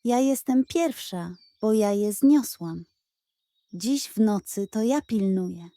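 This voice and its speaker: A woman voicing a proud, chatty old hen in a children's storybook, boastful and clucking, coy when flattered. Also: mid-range voice, slow pace, dry recording, studio quality. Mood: self-important.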